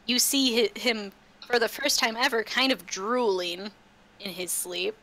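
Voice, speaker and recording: Female game master for a tabletop RPG, unhurried and halting in delivery. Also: fairly high pitch, pushed and bright, poor audio. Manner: halting, repeats words. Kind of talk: narrating a tabletop roleplaying game.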